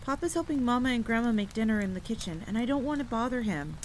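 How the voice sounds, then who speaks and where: A woman's voice: on the high side, expressive, fast, a little hiss or hum, pitched above the performer's own voice, lightly acted, low-quality recording. A woman voicing a stubborn, eager little sister in an audio drama, with a whiny, insistent manner. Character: stubborn, pleading little sister, eager young farm girl. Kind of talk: acted dialogue in audio drama. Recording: clear rain and thunder ambience behind voice. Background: rain and rolling thunder.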